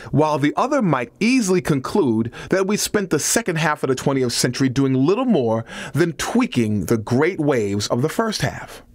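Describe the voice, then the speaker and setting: A man's voice: a little high, no echo. A man reading a nonfiction audiobook as a scripted narration.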